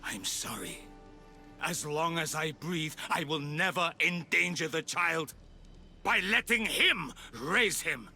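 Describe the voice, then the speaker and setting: An aging Persian zealot who leads a secret order in a video game. His delivery is slow and forceful, full of grandiose conviction and calm menace. Music plays behind him. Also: fairly high pitch, creaky, full character voice. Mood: impassioned. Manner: grandiose, deliberate speechmaking. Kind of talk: video game cutscene acting.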